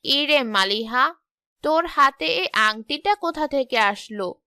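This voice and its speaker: A woman voicing a practical, sensible village mother in a cartoon moral story, advising in a measured way. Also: sits high, expressive, bright, forceful tone, dry recording, exaggerated voice. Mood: questioning.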